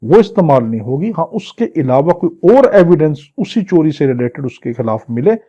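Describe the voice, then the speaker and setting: A man's voice: fairly high pitch, big pitch swings, normal speed, dark tone, slightly rough. A man with a Pakistani accent lecturing exam students as a law teacher, explaining didactically phrase by phrase and switching between two languages. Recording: dull, muffled lo-fi audio.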